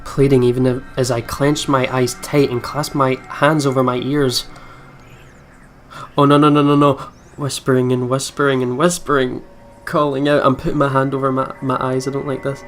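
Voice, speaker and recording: A man's voice: mid-range voice, little pitch movement, normal speed, faint music behind. Chatty man doing let's play commentary. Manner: chatty, thinking aloud, reactive. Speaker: gaming video host. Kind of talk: let's play commentary, reading story text aloud.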